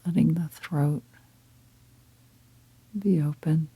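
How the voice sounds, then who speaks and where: A woman leading a guided meditation in a calm, gentle, breathy half-whisper, unhurried and with long pauses. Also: low voice, dry recording, low-quality recording. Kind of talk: guided meditation, slow soft-spoken instruction.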